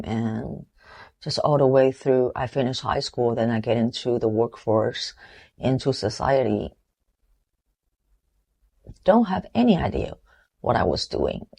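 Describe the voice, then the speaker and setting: A woman in her forties from Taiwan with a Taiwanese accent, giving an earnest, reflective motivational talk with halting restarts. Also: a bass voice, darkish tone. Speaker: motivational vlogger.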